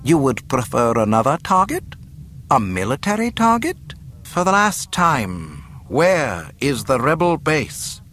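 A man's voice: mid-range voice, huge pitch swings, a little slow, fairly bright tone, a little hiss or hum, voice pushed up. A man voicing a cold, smug imperial governor in a storybook reading, pompous, precise and mockingly courteous. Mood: menacing.

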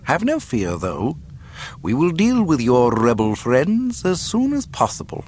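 A man's voice: medium pitch, wildly swooping pitch, measured pace, a little mellow, voice pushed up. A man voicing a cold, smug imperial governor in a storybook reading, pompous, precise and mockingly courteous. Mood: smugly cruel.

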